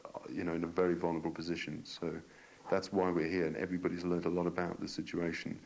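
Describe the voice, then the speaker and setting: A man, a pastor, answering in an interview in a halting, earnest way. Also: sits low, monotone, gravelly, darkish tone, rough recording. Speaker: local pastor and radio station organiser.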